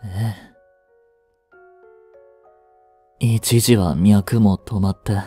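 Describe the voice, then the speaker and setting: 音声ドラマで、丁寧な口調で感情の激しい、執着に狂っていく若い執事を演じる男性。. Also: male voice, on the low side, fairly even intonation, takes it slowly, warm tone, husky, soft background music. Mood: relieved, quiet. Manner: formal, polite servant speech, intensely emotional. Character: devoted young male butler turning obsessive and unhinged. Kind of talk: Japanese romantic situation voice drama.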